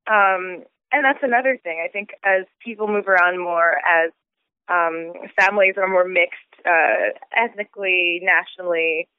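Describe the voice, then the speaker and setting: A woman's voice: on the low side, normal speed, clear, resonant tone, no room sound. A woman in her thirties, raised in Switzerland, talking casually about her book in an interview, thinking aloud with fillers and restarts. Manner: thoughtful, frequent fillers and restarts. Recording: tinny phone-like sound.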